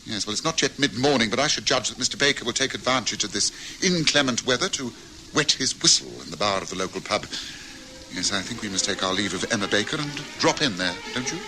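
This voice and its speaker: Actor as a measured, incisive detective; mid pitch. Hissy vintage radio recording. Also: male voice, expressive, natural voice. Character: sharp, confident middle-aged detective. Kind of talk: 1960s radio drama acting.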